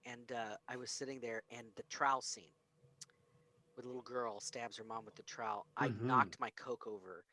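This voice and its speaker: An American man on a podcast, telling personal stories in casual film discussion with an animated, acted-out delivery. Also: mid-range voice, normal speed. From United States, grew up in Springfield and Texas. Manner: animated storyteller, acts out quoted lines. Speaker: podcast co-host.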